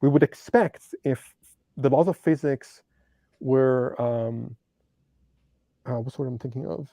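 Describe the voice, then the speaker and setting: A man speaks English as a second language in a gravelly, mellow voice. He talks slowly and thoughtfully, pausing with frequent ums as he searches for the right word. The sound is dry and close. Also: medium pitch, no echo. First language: not English. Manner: hesitant, searching for words, many ums. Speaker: debate guest. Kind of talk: casual philosophical discussion.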